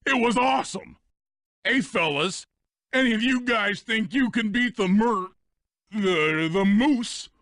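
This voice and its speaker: High, swooping, boastful bruiser. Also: male voice, slow pace, no echo, clean studio sound, full character voice. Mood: eager, boastful. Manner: excitable, showy. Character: boisterous, boastful, childlike male bruiser of a thief gang. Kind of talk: video game dialogue.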